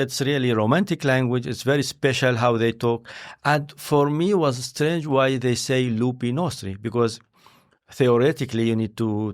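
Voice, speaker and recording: Gravelly man, halting and searching. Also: medium pitch. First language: not English. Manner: halting, searching for words with many fillers. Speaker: interview guest, scientist and filmmaker. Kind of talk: conversational interview.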